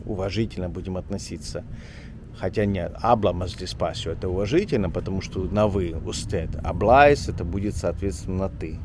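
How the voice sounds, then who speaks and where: A man talking casually as a journalist vlogger on a walk through an old town street, rambling and chatty, often pausing on filler words. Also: sits low, noisy background. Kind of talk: casual walking vlog chat.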